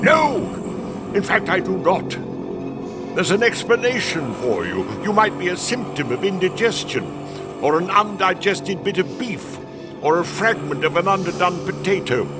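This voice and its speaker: An actor playing a skeptical, fearful old miser in a theatrical radio play, fussy and prone to stammering. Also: male voice, sits high, very animated, moderate pace. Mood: scornful disbelief. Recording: music under the voice.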